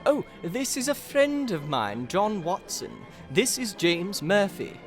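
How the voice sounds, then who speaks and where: Chatty, animated male schemer acted by a man. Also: high voice, slow, soft background music, heavily acted. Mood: sociable. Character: chatty male schemer introducing his friends. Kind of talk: audio theatre acting.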